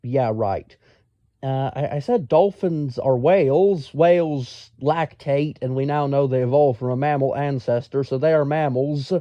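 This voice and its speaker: A man telling a casual, rambling story in a pedantic, exasperated, halting manner. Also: mid-range voice, dry recording, muffled recording. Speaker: storyteller, amateur science enthusiast.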